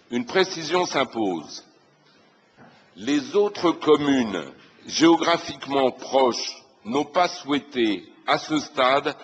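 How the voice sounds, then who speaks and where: Male councillor, formal prepared address, husky mid-pitched voice, echoing meeting hall. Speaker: city councillor presenting a motion. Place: large reverberant meeting room. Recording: reverberant hall.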